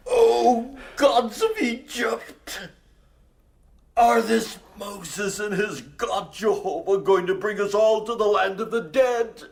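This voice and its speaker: Proud pharaoh king, high and drawn out, crying out to his gods like an old radio play. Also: takes it slowly, creaky, exaggerated voice. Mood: defiant. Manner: theatrical, declaiming, crying out. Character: defiant proud male Egyptian pharaoh. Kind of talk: audio drama acting.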